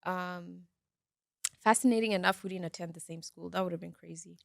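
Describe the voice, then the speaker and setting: A woman in her late twenties from Nairobi talks casually on a podcast. Her voice has a gravelly, fry-laden edge, and her speech is loose and filled with fillers. The recording is dry and close, with no echo. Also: lowish voice, a little fast. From Nairobi, Kenya. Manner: relaxed, with many fillers like "um" and "like". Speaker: podcast co-host, beauty content creator.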